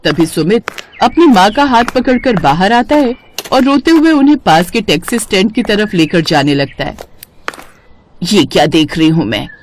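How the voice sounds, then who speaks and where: A woman's voice: mid-range voice, sing-song, normal speed, a little hiss or hum. A woman reading scripted story narration.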